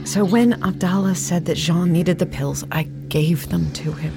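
Low-voiced woman confessing painful memories. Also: a little slow, vocal fry. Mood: regretful. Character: Monique, a woman bitterly recalling years of devoted servitude. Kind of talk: audio drama testimony monologue. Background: music.

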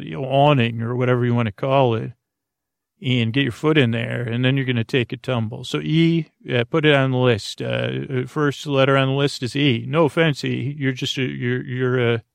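A man's voice: mid-range voice, creaky, no room sound, clean studio sound. A man hosting a sleep podcast in a rambling, meandering monologue, hesitant and circling back, musing whimsically with an earnest air.

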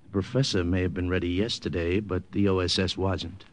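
An actor playing a cocky, wisecracking American secret agent in a 1950s radio drama, breezy and slangy. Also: male voice, sits low, level tone, brisk, background noise, natural voice. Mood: wry narration. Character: cocky, wisecracking American OSS agent narrating his adventure. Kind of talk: 1950s radio drama acting, first-person narration.

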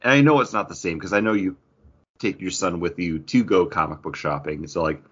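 A man's voice: low voice, a little fast, dull audio. A man hosting a podcast, talking casually and enthusiastically about pop culture in a rambling, conversational way. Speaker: podcast host.

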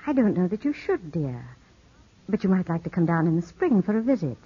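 An actress playing a poised, soothing widowed cousin who coaxes gently, in 1950s radio drama style on an old broadcast recording. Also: female voice, on the low side, sing-song, fairly quick, breathy edge. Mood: soothing, evasive. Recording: muffled 1950s radio broadcast, music behind.

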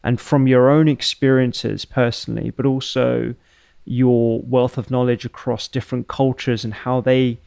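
Gravelly-voiced male podcast host. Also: medium pitch, flat delivery, a little mellow, dry recording, clean studio sound. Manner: thoughtful, halting questions with restarts. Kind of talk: conversational interview.

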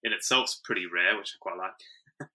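A man who grew up in South Africa answers a podcast interviewer casually. His voice sits a little low but has a sharp, forceful brightness. He rambles, restarts his sentences and chuckles along the way. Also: measured pace. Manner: rambling, self-correcting, chuckles often. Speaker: podcast guest.

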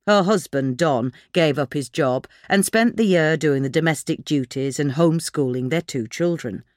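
A woman's voice: deep voice, moderate pace, darkish tone, dry recording, clean studio sound. A woman reading a magazine article aloud as scripted narration.

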